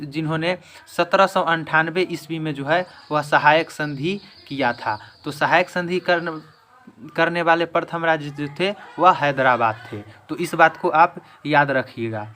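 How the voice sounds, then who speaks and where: A man's voice: a little high, bright, forceful tone, a little hiss or hum. A man teaching an exam-prep lesson in question-and-answer form, emphatically repeating each answer.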